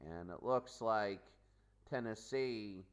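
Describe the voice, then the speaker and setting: A man's voice: fairly low pitch, slow pace, clear, resonant tone. A man hosting a sports pregame show with unscripted, off-the-cuff commentary that repeats itself. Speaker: sports host and announcer.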